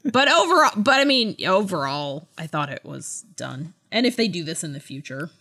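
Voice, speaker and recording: A woman co-hosting a podcast, chatting casually in a giggly, halting way. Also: lowish voice, sing-song, pushed and bright. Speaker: podcast co-host. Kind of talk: casual book review chat.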